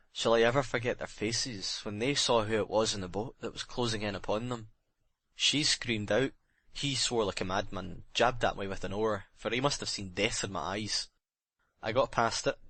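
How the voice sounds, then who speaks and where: A man's voice: on the low side, normal speed, fairly bright tone, no echo. A man playing a jealous, haunted sailor giving a bitter, intense murder confession in a dramatic audiobook reading. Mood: grim, agitated. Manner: intense dramatic confession, plain working-man's phrasing.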